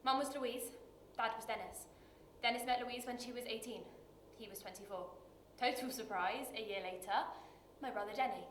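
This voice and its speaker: A woman acting a dramatic screen monologue as a bitter, wounded working-class woman, confessional and halting, with weighted pauses. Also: fairly high pitch, lightly acted. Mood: wry, resigned. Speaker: actor performing a monologue. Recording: roomy echo with light background noise.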